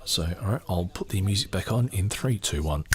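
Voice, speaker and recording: A man's voice: low-pitched, expressive, a little fast, soft background music. A man hosting a book-reading podcast, moving between reading aloud and informal, thinking-aloud commentary. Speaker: podcast host and book reader.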